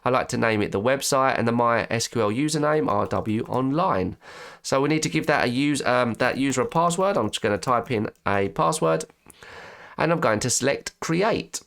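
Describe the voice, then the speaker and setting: A man with a stuffy nose and slightly croaky voice, chatting through a software tutorial and correcting himself as he clicks along. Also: medium pitch, expressive, moderate pace. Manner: chatty, restarts and self-corrects while clicking. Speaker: web design tutor. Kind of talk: screen-recorded software tutorial.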